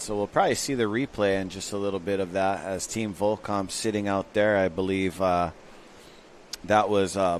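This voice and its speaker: A man's voice: on the low side, slight background noise. A man commenting live on a surf contest, chatty and easygoing.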